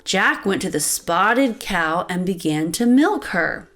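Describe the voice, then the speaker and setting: Female children's read-aloud host: expressive storytelling, unhurried delivery, highly varied pitch, faint music underneath. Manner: expressive, addressing child listeners with questions. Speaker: children's book reader and host. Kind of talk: animated read-aloud narration for young children. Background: light background music.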